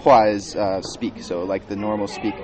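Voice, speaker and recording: A late-teens man from Minnesota talks casually outdoors in a city, with a busy crowd murmuring clearly behind him. His voice sits a little low, and he explains things with care, restarting now and then. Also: fairly even intonation, normal speed, rough recording. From Minnesota, USA. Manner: explanatory, thoughtful, with some restarts. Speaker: traveler in conversation. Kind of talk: casual chat.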